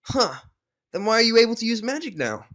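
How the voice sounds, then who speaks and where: A man voicing a brash, excitable young female pegasus pony in an audio drama, slangy, eager and exclamatory. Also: very high-pitched, fairly quick, voice pushed up, full character voice. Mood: awed, curious. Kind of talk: audio drama of fan fiction.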